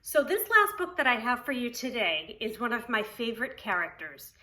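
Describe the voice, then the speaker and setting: A woman's voice: on the high side, animated, normal speed, bright, forceful tone. A woman preschool teacher reading a story aloud to young children, expressively, with simple explanatory asides.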